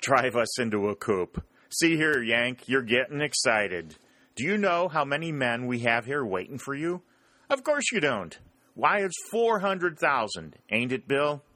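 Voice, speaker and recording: A man's voice: sing-song, unhurried, no echo. A man voicing a tall, youngish, boastful Southern soldier with a Mississippi accent and a folksy drawl. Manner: folksy drawl, higher and louder than narration.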